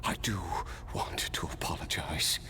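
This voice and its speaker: An actor playing a weary, regretful immortal warrior with wry amusement, in a video game style. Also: male voice, unhurried, whispered, close to an everyday voice.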